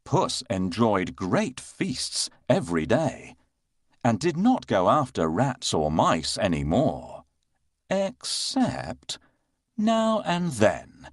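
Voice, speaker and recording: A man narrating a children's bedtime story in an expressive storytelling manner. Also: mid-range voice, slow pace, no room sound, clean studio sound.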